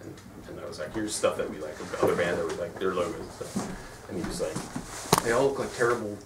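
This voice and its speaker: A man's voice: low voice, fairly quick. A man in a band talking casually in an interview, rambling and full of "like" and acted-out quotes, recorded from a distance in an echoey room. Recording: echoey room, distant mic, audible noise.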